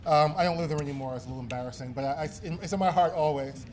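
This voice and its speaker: A man's voice: a little high, racing, a little mellow. A man from Brooklyn, giving a chatty, self-deprecating award acceptance speech full of ums and uhs. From East New York, Brooklyn. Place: gala banquet hall.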